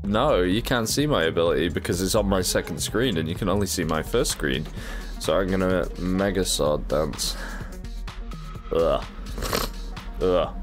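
A man's voice: low voice, unhurried, soft background music. A man in his thirties hosting a casual gameplay stream, joking and laughing often while he has a cold. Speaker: gaming channel host.

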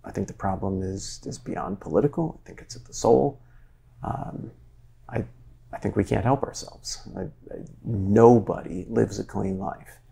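A man's voice: fairly low pitch, unhurried, creaky, slightly rough. A man in his forties hosting an interview, talking in an earnest, searching way while gesturing, recorded with a lapel mic in a wood-panelled library. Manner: earnest, searching, animated with hands. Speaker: interviewer, show host. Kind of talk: conversational interview.

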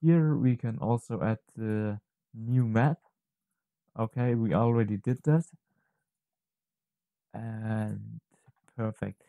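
A man presents a software tutorial in a lowish, mellow voice. He speaks very slowly and haltingly, thinking aloud and correcting himself as he works. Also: fairly even intonation, vocal fry, no room sound. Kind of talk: unscripted software tutorial narration.

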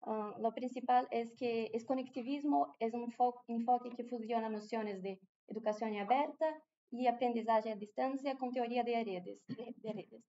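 A woman's voice: on the high side, fairly even intonation, moderate pace, few pauses, mellow, dull audio. A Brazilian woman with a Portuguese accent giving a conference talk in a large room, careful and often restarting her words. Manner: careful, with frequent hesitations and restarts. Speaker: conference speaker. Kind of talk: conference presentation.

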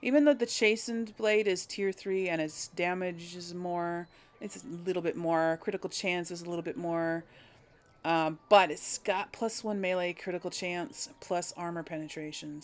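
A woman's voice: on the low side, steady tone, normal speed, gravelly. A woman giving casual game commentary, thinking aloud in a hesitant, musing way as she hosts. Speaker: gaming video host.

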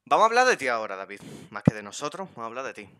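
A man's voice: mid-range voice, big pitch swings, racing, sharp tone, dry recording, clean studio sound. A young man from Spain with a Spanish accent, hosting a casual podcast chat on a headset and joking around with his guest. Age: young adult. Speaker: podcast host. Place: bedroom streaming setup.

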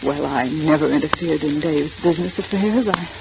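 An actress playing a hesitant, wounded wife, speaking haltingly in a 1940s radio drama. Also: female voice, deep voice, creaky. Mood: hurt, halting. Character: hesitant, wounded wife betrayed by her husband. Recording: crackly, muffled 1940s radio recording.

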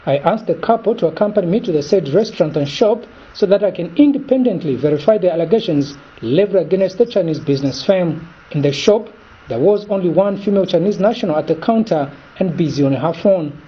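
A man reads a news report in a formal, measured way. His voice sits high and has a husky edge. The sound is dull and lo-fi. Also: animated.

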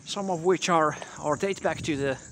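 A man with a fairly high voice narrates a relaxed walking tour outdoors, stopping and restarting often with plenty of fillers. He talks into a camera mic as he walks. Street noise and passing traffic are clearly heard behind him. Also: moderate pace. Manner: hesitant, many fillers and restarts. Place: quiet residential street outdoors. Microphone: handheld camera mic while walking.

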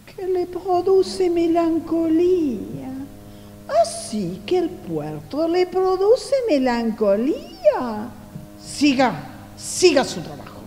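A woman performs a stern female boss in a radio play, sarcastic and bossy. Her high voice swings dramatically and takes its time. It rings out in a long reverb. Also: sing-song, takes it slowly, warm tone, soft background music, exaggerated voice. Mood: commanding, scolding. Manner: haughty, sarcastic. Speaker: amateur radio theatre actor. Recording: reverberant echoey room.

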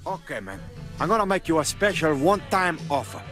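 An actor playing a brash, hot-tempered Cuban-American crime boss with a Cuban accent, blunt, profane and domineering. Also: male voice, fairly high pitch, very animated, a little fast, fairly bright tone, faint music behind. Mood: swaggering, pushy. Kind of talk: video game character acting.

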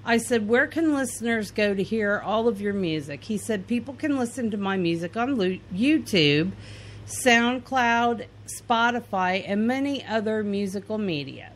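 A woman's voice: mid-range voice, expressive, unhurried, a little hiss or hum. A woman podcast host from Indiana, reading aloud both sides of an interview in a plain, earnest way with some fillers and restarts.

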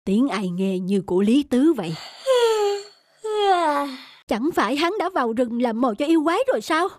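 A woman voicing a scheming, cowardly village man in an animated folktale, theatrical and pleading. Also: on the high side, normal speed, no echo, studio quality. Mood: fearful. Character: scheming, cowardly village man betraying his sworn brother. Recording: music behind the dialogue.

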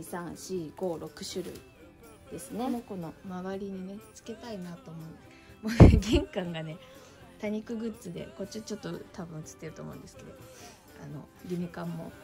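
A woman's voice: lowish voice, moderate pace, vocal fry, over music. A middle-aged woman chatting casually as she shows plants to the camera in her home entryway. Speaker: succulent channel vlogger. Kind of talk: casual chat. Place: indoor entryway with a shelf.

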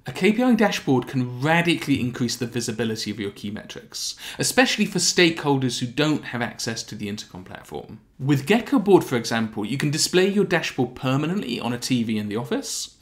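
A man in his thirties giving a scripted explainer, step by step, with deliberate emphasis. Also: mid-range voice, a bit bright. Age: 30s. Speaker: presenter of a software tutorial. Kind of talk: scripted explainer narration to camera.

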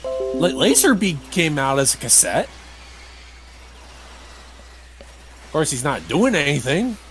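A man's voice: a little high, big pitch swings, a little slow, fairly bright tone, soft background music. Casual, grumbling male gamer. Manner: grumbling, critical, mildly profane. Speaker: let's play host playing the game. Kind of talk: casual game commentary.

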